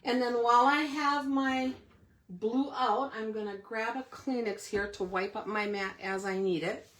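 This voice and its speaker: Hoarse woman demonstrating card-making. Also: fairly high pitch, fairly even intonation, unhurried, fairly bright tone. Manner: chatty step-by-step instruction. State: hoarse, clearing throat often. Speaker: craft demonstrator.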